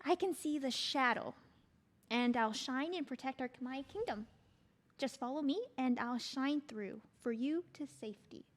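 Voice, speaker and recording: A woman's voice: sits high, measured pace. A woman reading the part of a playful, devoted sister and friend in a stage play table read. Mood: devoted, dreamy.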